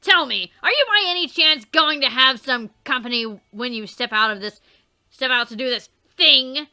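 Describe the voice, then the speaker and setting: Woman voicing a furious troll boy, loud and high, ranting and overwrought, game read-through. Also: expressive, moderate pace, heavily acted. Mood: scornful. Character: furious shouting male troll youth.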